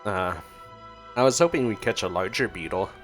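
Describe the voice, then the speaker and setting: A man's voice: on the low side, expressive, normal speed, over music. A man streaming gameplay with casual reaction commentary, sometimes reading dialogue aloud.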